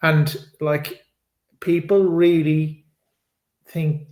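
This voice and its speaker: A man's voice: on the high side, little pitch movement, very slow, darkish tone. A middle-aged Irish man chatting on a podcast, laughing often and restarting his sentences in a self-deprecating way. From Ireland. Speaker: podcast co-host. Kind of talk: casual podcast chat. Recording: echoey room.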